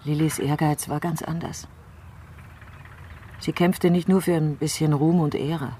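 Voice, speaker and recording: An actress playing a troubled, brooding politician in a crime radio play, speaking reflectively and intensely, sometimes halting. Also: female voice, low voice, fairly even intonation, warm tone, slightly rough. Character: troubled, brooding female politician doubting the official truth. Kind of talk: dramatic radio play acting.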